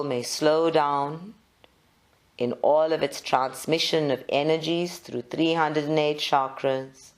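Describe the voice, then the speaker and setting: A meditation leader, giving measured guided instructions in short phrases with long pauses. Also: medium pitch.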